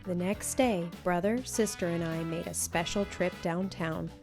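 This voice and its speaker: A woman's voice: lowish voice, measured pace, loud music behind the voice. A woman reading a children's story expressively, as scripted narration, acting out the dialogue. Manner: animated, acts out each character's dialogue. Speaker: storybook reader.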